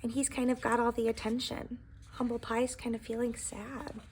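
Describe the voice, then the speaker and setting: A woman's voice: a little high, little pitch movement, moderate pace, background noise. A woman teacher reading a picture book aloud to young children, expressively, with explanatory asides addressed straight to her listeners.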